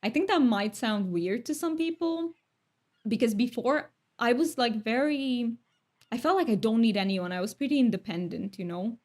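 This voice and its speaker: A woman's voice: fairly high pitch, normal speed, a little mellow. Chatty woman streamer. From Romania. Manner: chatty, thoughtful, laughs often. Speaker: streamer reading chat. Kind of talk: casual chat.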